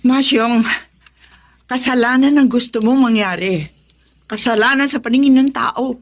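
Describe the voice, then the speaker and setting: A woman's voice: a little high, a little slow. A woman playing a devout, burdened mother with firm principles in an emotional radio drama, speaking earnestly. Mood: firm, pained. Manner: earnest, pleading.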